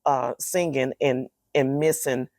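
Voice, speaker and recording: Middle-aged woman, deep raspy voice, creaky edge, expressive, casual interview guest, dry close sound. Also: slow pace, no room sound. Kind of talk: candid personal storytelling in casual interview.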